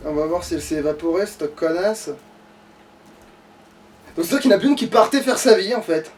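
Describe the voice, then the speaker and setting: High-voiced, fast-talking man gaming. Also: expressive, a little mellow, recorded from a distance. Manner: grumbling, crude swearing. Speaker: gamer playing on a let's play. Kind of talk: casual gaming chat.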